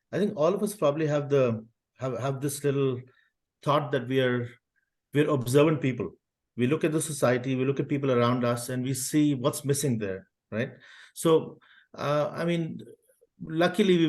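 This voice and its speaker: Man entrepreneur from Pakistan, mid-pitched, chatty panel explainer. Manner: conversational, explains with rhetorical "right?". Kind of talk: panel discussion.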